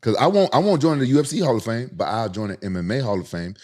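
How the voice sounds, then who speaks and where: A man's voice: mid-range voice, big pitch swings, quick pace, darkish tone. A man hosting a podcast in casual chat, teasing his guest with loose slang.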